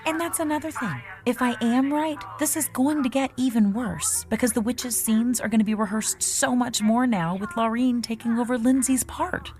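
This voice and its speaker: A woman's voice: on the high side, steady tone, normal speed, hardly any pauses, darkish tone, faint music behind, lightly acted. A woman playing an earnest, secretive and insistent member of a theatre troupe in an audio drama. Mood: anxious resolve.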